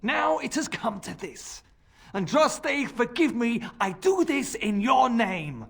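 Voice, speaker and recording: A man's voice: very high-pitched, big pitch swings, takes it slowly, vocal fry, a bit bright, a little hiss or hum, exaggerated voice. A man playing a deceitful, fanatical zealot who poses as a scholar's assistant in a dramatic video game. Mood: fervent, menacing.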